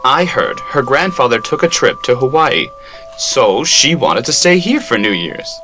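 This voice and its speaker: A man acts as a teasing, theatrical guardian in an anime-style radio play. His voice is medium in pitch and crisply bright, with a wry, explanatory manner. Gentle music runs behind it. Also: sing-song, unhurried. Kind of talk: amateur anime-style radio drama acting.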